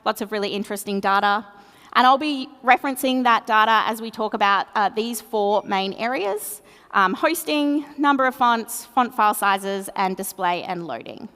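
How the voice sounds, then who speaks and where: A woman's voice: mid-range voice, lively intonation, normal speed, a bit bright, big-room sound. A woman with an Australian accent giving a conference talk, chatty and self-deprecating, with plenty of fillers. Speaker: conference speaker. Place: large conference hall.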